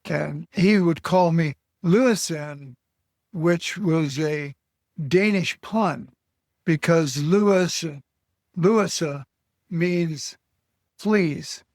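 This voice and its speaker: An older man from Alaska answering interview questions slowly, pausing often with fillers and short of breath. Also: a little high, a little mellow, dry recording, studio quality. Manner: halting, with many pauses and fillers. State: short of breath, on supplemental oxygen. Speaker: guest. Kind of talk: slow reflective interview answers.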